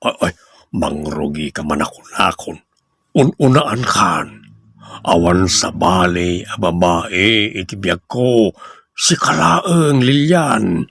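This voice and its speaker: A man with a Filipino accent playing an evasive, placating cheating husband in a radio comedy drama, in a husky voice. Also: deep voice, lively intonation, slow pace, a bit bright, no room sound, clean studio sound, exaggerated voice. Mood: defensive, placating.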